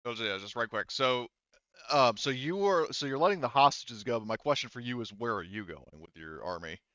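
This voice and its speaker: A man's voice: medium pitch, expressive, fairly quick, fairly bright tone, no echo, highs cut off. A man running a tabletop roleplaying game as game master, talking casually and thinking aloud as he goes.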